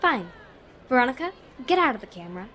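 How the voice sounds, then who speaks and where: A woman playing a vain, bossy, attention-seeking high-school queen bee in a comedic audio drama, haughty and dismissive. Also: big pitch swings, fast talker, pushed and bright, exaggerated voice.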